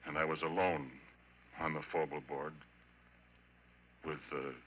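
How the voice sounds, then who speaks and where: A man playing a haunted oilfield roughneck, fearful and obsessed, telling his story in halting, dramatic 1940s radio horror style on a muffled, noisy old recording. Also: a bass voice, level tone. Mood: tense, fearful. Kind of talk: 1940s radio horror acting, first-person narration.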